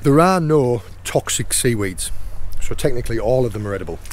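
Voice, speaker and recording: A hoarse, croaky middle-aged man talks casually while foraging outdoors on a rainy rocky shore. His pitch sits in a middle range, and he keeps up a chatty flow. A faint hiss of noise runs under the voice. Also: animated, a little fast. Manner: chatty, points things out as he goes. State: hoarse, croaky sore throat. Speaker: channel host and foraging guide.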